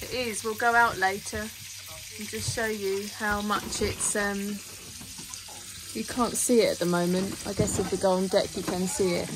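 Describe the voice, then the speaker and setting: A woman, chatting softly while filming aboard a small sailing boat. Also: medium pitch, steady tone, unhurried. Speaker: vlog co-host filming. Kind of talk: casual vlog chat. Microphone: handheld phone camera. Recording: noisy boat sound.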